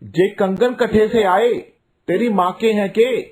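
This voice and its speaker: Man acting a gruff Haryanvi uncle. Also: high-pitched, takes it slowly, a little mellow. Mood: brusque, bargaining. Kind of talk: Hindi cartoon voice acting.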